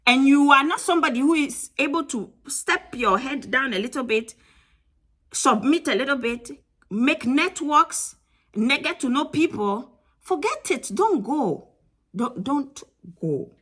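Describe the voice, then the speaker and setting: A woman with a Ghanaian accent gives frank advice to her viewers in a casual talk to camera. Her voice sits a little high, with a bright edge. She is emphatic and blunt, hammering points home by repeating them. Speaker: channel host giving travel advice.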